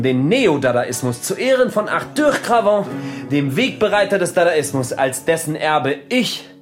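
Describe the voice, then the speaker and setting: An actor playing a self-important, provocative performance artist with a pompous, teasing manner in a radio drama. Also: male voice, on the high side. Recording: music under the dialogue.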